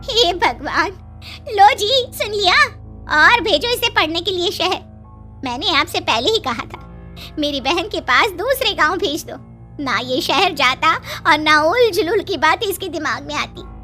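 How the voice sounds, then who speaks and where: Cartoon village mother, played by a woman: piercingly high and bright, anxious, quick to scold. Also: expressive, soft background music, heavily acted. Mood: exasperated. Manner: fretful, scolding. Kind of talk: animated storybook drama acting.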